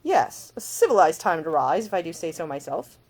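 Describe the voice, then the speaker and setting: Lady reading as a cheery big rogue gent, suave n teasing, formal drawl, very lively pitch, audiobook style. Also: brisk. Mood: amused, suave. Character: cheery roguish idle gentleman, large, suave and teasing. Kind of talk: audiobook character dialogue.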